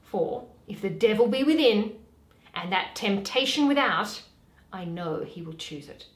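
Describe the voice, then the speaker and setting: A woman's voice: mid-range voice, expressive, a little slow, natural voice. A woman playing a witty, mischievous young heiress in a theatrical Shakespearean reading, arch and teasing. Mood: scornful. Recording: roomy, echoey home recording.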